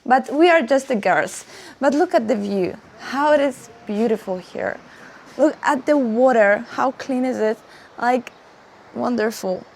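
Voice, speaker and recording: A woman's voice: a little high, slight background noise. A young woman chatting playfully to her viewers in a casual travel vlog, showing a hotel room and the view. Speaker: vlogger. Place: hotel room and outdoors on vacation.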